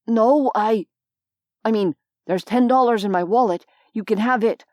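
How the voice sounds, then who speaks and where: A woman reading an audiobook, voicing a desperate young man who pleads in rushed, stammering bursts. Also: fairly high pitch, a little mellow, no room sound, studio quality, lightly acted. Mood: panicked.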